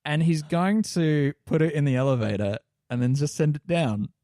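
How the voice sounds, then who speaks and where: A man's voice: on the high side, moderate pace, dark tone, dry recording, clean studio sound. A man chatting casually as a player in a tabletop roleplaying game, thinking aloud. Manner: thinks aloud, describes his character's actions in third person.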